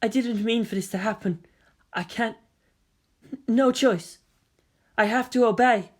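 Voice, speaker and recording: A performer voicing a gentle, submissive teenage boy who pleads and apologises helplessly in a story reading. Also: mid-range voice, unhurried, pitched above the performer's own voice, close to an everyday voice. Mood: pleading.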